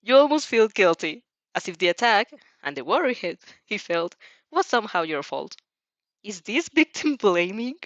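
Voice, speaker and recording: A woman's voice: mid-range voice, big pitch swings, moderate pace, dry recording, lo-fi sound. A woman narrating fan fiction with casual reaction asides, sometimes stumbling and rereading phrases.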